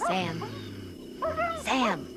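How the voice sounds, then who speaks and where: A woman's voice: on the low side, animated, extremely slow, over loud music. A woman playing a bossy, anxious farmer's wife in an audio drama, nagging and exclamatory. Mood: sleepy, nagging.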